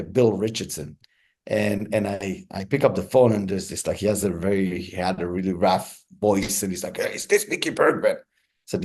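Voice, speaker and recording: A man in his forties from Israel, answering interview questions in a relaxed storytelling way with frequent ums and restarts. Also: on the low side. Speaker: interview guest, negotiator.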